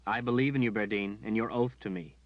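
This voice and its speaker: A man voicing a calm, steadfast young male hero who speaks quietly and earnestly. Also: lowish voice, brisk, no echo, close to an everyday voice. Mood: gentle. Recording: dull dry recording.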